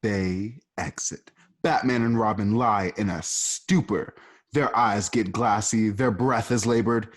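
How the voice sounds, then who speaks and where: A man's voice: fairly low pitch, takes it slowly. A man narrating the stage directions of an amateur radio drama in a plain, matter-of-fact way.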